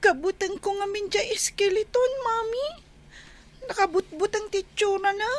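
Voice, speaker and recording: A woman's voice: extremely high pitch, measured pace. A woman with an Ilocano accent voicing a frightened, timid young child in an audio drama.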